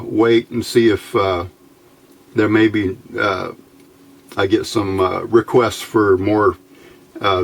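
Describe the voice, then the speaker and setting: A man giving an informal, somewhat halting hands-on electronics demonstration as the host. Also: medium pitch. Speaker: host of electronics tinkering videos. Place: indoor workbench.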